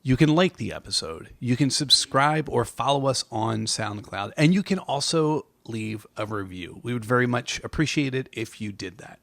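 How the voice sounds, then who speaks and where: A man with a noticeably creaky, gravelly edge to his voice hosts a podcast. He talks in a casual, chatty style at an ordinary male pitch. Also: animated, moderate pace, hardly any pauses, a bit bright. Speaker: podcast host.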